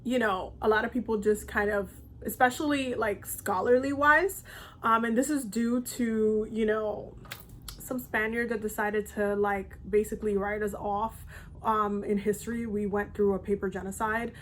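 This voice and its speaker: Young woman, casual vlog talk, rambling with fillers, mid-pitched voice. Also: steady tone, normal speed, slight background noise. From Dominican Republic. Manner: loose and chatty, many ums and restarts. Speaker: spiritual content creator.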